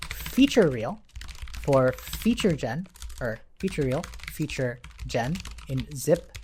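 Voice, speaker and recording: A man's voice: a little high, a little mellow, a little hiss or hum. A man giving a casual coding tutorial as an instructor, informally thinking aloud as he works. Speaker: programming instructor.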